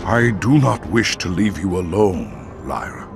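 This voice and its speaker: A man's voice: deep voice, very animated, unhurried, vocal fry, heavily acted. A man playing a grave, noble and protective armoured polar bear in a video game, speaking in a solemn, formal way. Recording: loud music over the voice.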